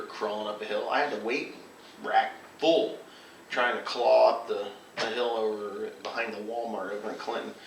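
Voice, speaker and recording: A man who farms, telling rambling, casual stories among friends in a folksy, colloquial and animated way. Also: on the low side. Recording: roomy, distant mic, noisy background.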